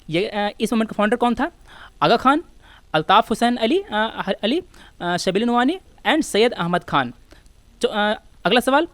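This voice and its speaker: A woman's voice: medium pitch, no echo. A woman with an Indian accent teaching exam material in an informal, explanatory lecture, addressing her students as friends. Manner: explanatory, addresses students as friends, switches between two languages.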